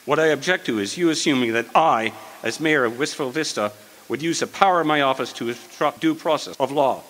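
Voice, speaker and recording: An actor playing a pompous, long-winded small-town mayor with formal, wordy speech in an old-style radio comedy. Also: male voice, mid-range voice, brisk, a bit bright, lightly acted, poor audio. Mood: affronted, officious. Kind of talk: 1940s radio comedy acting, live re-creation.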